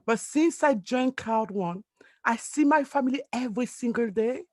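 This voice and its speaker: Cameroonian-born woman giving an emphatic pitch. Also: animated, moderate pace, darkish tone, no echo, studio quality. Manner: earnest, emphatic, non-native phrasing. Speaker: network marketing leader. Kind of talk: motivational speech on a video call. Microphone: video call.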